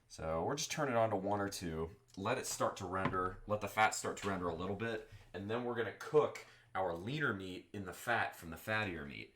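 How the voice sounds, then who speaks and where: A rambling Southern man with a low, deep voice talks casually while cooking at home, drifting nearer and farther from the mic as he moves. Faint background noise and a few clicks sit under his speech. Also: fairly bright tone. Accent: Southern American. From Texas. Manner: rambling, chatty, moves around the kitchen. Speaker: streamer cooking chili. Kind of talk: casual live-stream chat while cooking. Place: home kitchen.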